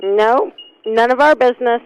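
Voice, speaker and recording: A woman's voice: on the high side, normal speed, no room sound. A woman working at a store answers a customer on a phone call, unbothered and dismissive. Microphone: telephone call.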